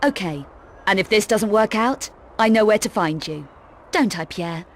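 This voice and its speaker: An actress playing a tough, self-assured young female adventurer in a video game, curt, sardonic and quietly threatening. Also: mid-range voice, lively intonation, moderate pace, a little hiss or hum, close to an everyday voice. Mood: sardonic, menacing. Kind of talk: video game cutscene acting.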